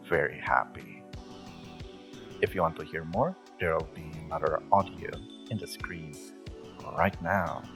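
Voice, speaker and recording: Low-voiced man, gravelly channel outro. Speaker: channel host, voice performer.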